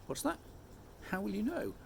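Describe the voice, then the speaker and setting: A man's voice: high-pitched, wildly swooping pitch, normal speed, creaky, slight background noise, pitched above the performer's own voice, full character voice. A man voicing a kindly, eccentric old storybook professor, musing and questioning himself aloud. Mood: advising.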